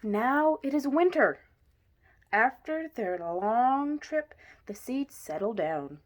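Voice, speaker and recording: A woman reading a children's picture book aloud in an expressive way, with deliberate emphasis. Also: on the high side. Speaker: story reader.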